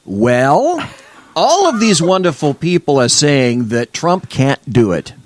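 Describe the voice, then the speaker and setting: Man, talk radio co-host, blustery, measured reading, dry studio sound. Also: a little high, sing-song, no room sound. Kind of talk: loud banter and reading out legal text.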